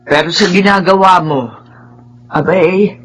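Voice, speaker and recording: An actress in a Filipino radio drama playing a stern, pious older aunt who scolds emphatically but cares. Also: female voice, on the low side, measured pace, a bit bright, breathy edge. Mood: stern, scolding.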